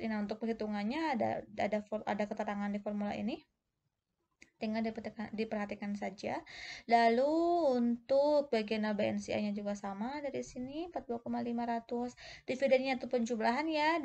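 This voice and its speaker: A woman's voice: on the high side, vocal fry, a little mellow. A woman explains step by step as a tutor in an informal lesson, often posing questions and adding conversational tags. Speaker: accounting tutor presenting a video lesson.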